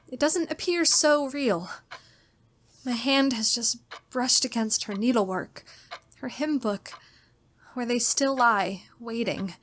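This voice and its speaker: A woman's voice: sits high, fairly bright tone. An actress playing a terrified, genteel captive young woman in a suspense drama, with a faltering, melodramatic delivery. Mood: anxious dread. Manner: faltering, repeats words, melodramatic. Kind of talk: old-time radio style suspense drama, diary monologue.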